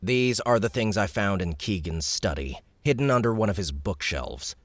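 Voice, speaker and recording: A man's voice: on the low side, expressive, moderate pace, no echo, natural voice. A man voicing a cautious young man posing as a soldier, speaking politely and carefully.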